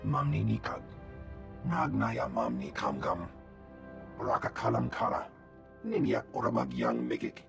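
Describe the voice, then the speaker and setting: A gruff alien officer growls in a low, heavily gravelled voice. The invented guttural speech comes in choppy barks, video game style, with loud music behind it. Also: male voice, sing-song, measured pace, long pauses, heavily acted. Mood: gruff, urgent.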